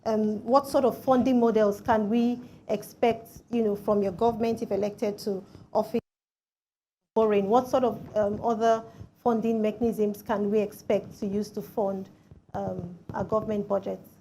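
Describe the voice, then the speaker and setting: A woman's voice: medium pitch, little pitch movement, moderate pace, mellow, a little hiss or hum, rough recording. A woman putting formal, polite questions as an interviewer, padding her phrases with "you know". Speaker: interviewer on a panel.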